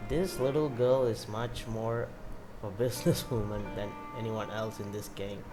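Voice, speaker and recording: A man's voice: on the low side, fairly even intonation, moderate pace. A man giving casual gameplay commentary as the host of a gaming video. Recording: loud game music behind. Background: game music.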